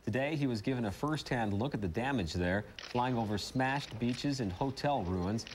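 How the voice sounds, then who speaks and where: A man's voice: medium pitch, moderate pace, slight background noise. A man with an American accent anchoring a TV morning newscast, reading news and chatting informally.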